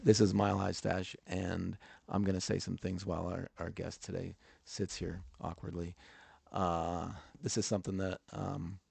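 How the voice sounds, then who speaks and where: Deep gravelly man, stuttering host. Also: level tone, moderate pace, few pauses, dark tone. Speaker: podcast host. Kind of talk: casual podcast talk.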